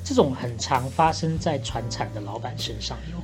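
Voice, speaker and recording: A Taiwanese woman speaks in a low register, in the casual manner of a podcast co-host. Her talk is conversational and unscripted. Music sits behind her voice. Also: expressive, moderate pace, a little mellow. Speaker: podcast co-host playing bartender and listener. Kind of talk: casual chat.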